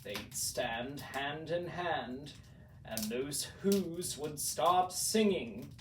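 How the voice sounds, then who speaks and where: Slow, dramatic male storyteller in an echoey room. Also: fairly high pitch, expressive. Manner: deliberate, dramatic, builds repetitions for emphasis. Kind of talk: theatrical storybook narration in imitation of a classic horror actor. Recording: roomy, distant mic, faint noise.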